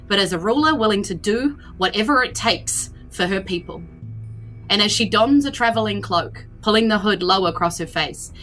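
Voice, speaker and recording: A woman with a New Zealand accent and a very bright, forward voice works as game master for a roleplaying show. She speaks as host and storyteller, with music playing under her voice. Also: medium pitch, low-quality recording. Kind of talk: dramatic scripted narration and casual hosting of a tabletop roleplaying podcast.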